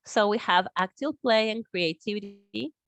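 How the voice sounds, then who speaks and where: A woman's voice: medium pitch, fairly bright tone, dry recording. A woman from Argentina presenting an English teaching workshop in an online meeting, hesitant and full of fillers. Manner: slow, hesitant, with fillers and laughs. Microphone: video call.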